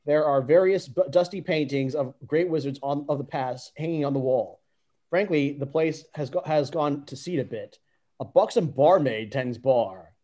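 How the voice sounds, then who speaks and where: A man with a slightly high voice narrates stage directions from a script. It is an amateur reading, and he often trips on words and starts again. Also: dark tone. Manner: stumbles and restarts over the text.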